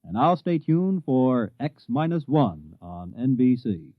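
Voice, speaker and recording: A man's voice: medium pitch, big pitch swings, slow pace, dry recording. A man announcing a scripted promo in 1950s radio style. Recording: dull, dry old radio recording.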